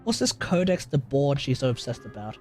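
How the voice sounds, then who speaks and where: A woman's voice: extremely low pitch, very animated, fairly quick, darkish tone, soft background music. A woman live-streaming a game, reacting casually in an offhand, sweary way.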